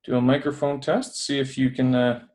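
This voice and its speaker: A man's voice: medium pitch, little pitch movement, moderate pace, a little mellow. A man from Canada hosting a podcast interview over a video call, chatting casually and rambling with lots of fillers. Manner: rambling, filler-heavy, friendly. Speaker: podcast host. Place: building away from home. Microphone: headphones on a video call.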